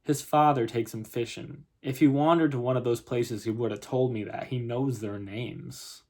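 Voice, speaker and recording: A man's voice: low-pitched, steady tone, normal speed, darkish tone, close to an everyday voice. A man reading the part of a weary, defensive Texan mother in a story, blunt and exasperated.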